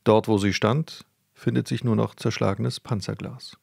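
A man's voice: lowish voice, fairly even intonation, normal speed, gravelly, darkish tone, no room sound, clean studio sound. A man reading scripted, dramatic crime report narration as a narrator. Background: background music.

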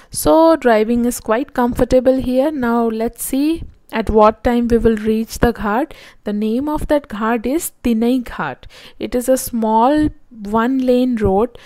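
A woman's voice: a little high, dark tone, no echo. A woman, casually narrating a road trip from inside a car and talking straight to her viewers. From India. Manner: plain, informative, addresses viewers directly. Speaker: travel vlogger.

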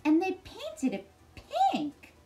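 A woman voicing an indignant, animated young boy. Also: very high-pitched, takes it slowly, voice pushed up, exaggerated voice. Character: young boy, indignant and sulky about changes.

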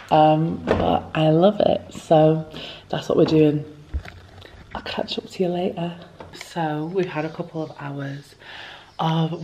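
A young woman chatting to a handheld camera in a room, rambling and lively as she talks. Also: low voice, slight background noise. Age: young adult. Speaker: vlogger. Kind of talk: casual chat to camera. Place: indoor room with bookshelves at a retreat. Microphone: handheld camera at arm's length.

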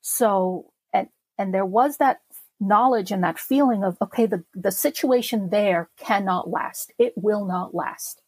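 A woman's voice: medium pitch, a little slow, darkish tone, husky, dry recording, low-quality recording. A middle-aged woman from Czechoslovakia, a podcast guest recalling her childhood in a reflective way, with many fillers and restarts. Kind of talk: personal memoir interview.

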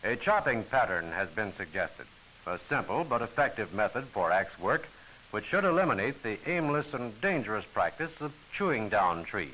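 Guy narrating an old instructional film, formal wording, lively pitch swings, hissy soundtrack. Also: normal speed, poor audio. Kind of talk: scripted training-film narration.

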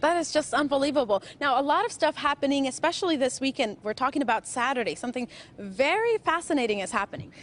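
High-voiced bubbly woman reporter. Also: animated, fast talker, faint music behind. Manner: bubbly, chatty. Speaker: field reporter. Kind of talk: live TV field report. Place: large indoor museum hall. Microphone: handheld mic.

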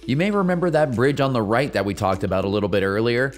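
A man's voice: mid-range voice, fast. A man narrating a scripted kayaking travel vlog as a voice-over, giving friendly, informative tour-guide directions. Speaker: kayaking vlogger. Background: soft background music.

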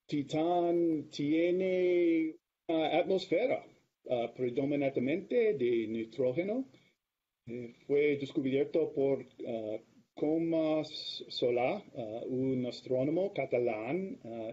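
A middle-aged man whose first language is English, speaking Spanish with an American accent as a guest lecturer on a video call, slow and careful, with many hesitations. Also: on the high side, husky. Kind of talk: academic talk over video call. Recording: dull lo-fi video call audio.

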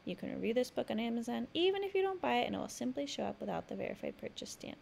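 A woman reads aloud for young children as a host and storyteller. Her voice sits fairly high and swings widely in pitch, with a clearly creaky edge. She delivers each phrase expressively and with emphasis. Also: very animated, very fast, a little mellow, slight background noise. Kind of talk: expressive picture-book read-aloud narration.

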